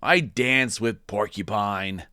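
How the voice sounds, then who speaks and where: A man's voice: lowish voice, slow, pushed and bright, clear, resonant tone, no echo, studio quality. A man voicing a frank, sympathetic gorilla friend in a storybook, emphatic and matter-of-fact.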